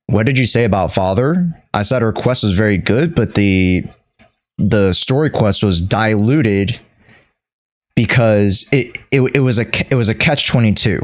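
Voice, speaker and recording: Low voiced guy streamer rambling to chat, takes it real slow, keeps stopping to find the word. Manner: halting, searching for words, emphatic repeats. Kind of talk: unscripted livestream commentary to chat.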